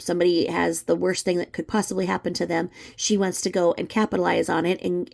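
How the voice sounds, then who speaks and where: Scornful woman commentator with a gravelly, creaky voice. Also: lowish voice, warm tone. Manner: scornful, disapproving, emphatic. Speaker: true crime commentary channel host. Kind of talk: unscripted opinion commentary.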